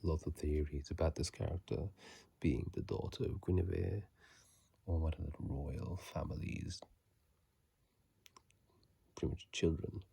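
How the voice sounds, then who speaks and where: An ASMR gaming host putting on a raspy, gravelly low voice for a hushed, drawn-out reading of game lore and tips into a phone microphone. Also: fairly even intonation, no echo, full character voice. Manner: slow, hushed, drawn-out delivery with throat clearing.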